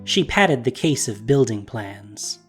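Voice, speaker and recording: Expressive male storybook narrator. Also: medium pitch, takes it slowly, soft background music.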